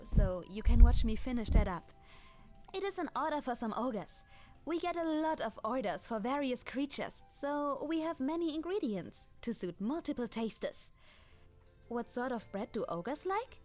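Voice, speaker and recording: A woman playing a kind, clumsy, centuries-old dragoness baker in an ASMR roleplay, with a German accent and gentle, apologetic, formal, halting speech. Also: sits high, sing-song. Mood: cheerful instruction. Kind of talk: ASMR roleplay, in-character monologue to the listener. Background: music.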